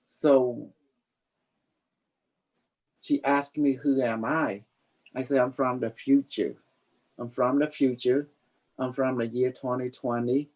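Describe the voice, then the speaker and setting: Psychic livestream host, boastful and playful, mid-pitched, loose improvised chat in non-standard grammar. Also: steady tone, takes it slowly, pauses often, darkish tone.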